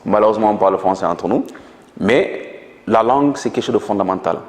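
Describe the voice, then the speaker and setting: A man's voice: on the low side, fairly quick, a little mellow. Man explaining in an echoey room. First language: Manjak. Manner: earnest lecturing tone with many hesitations. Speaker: guest. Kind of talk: explanatory interview talk.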